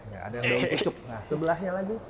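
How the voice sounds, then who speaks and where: A man's voice: on the high side, sing-song, quick pace, gravelly. A man, sounding middle-aged, interviewing casually and jokily as host, often repeating answers back playfully. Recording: echoey hall, faint background noise.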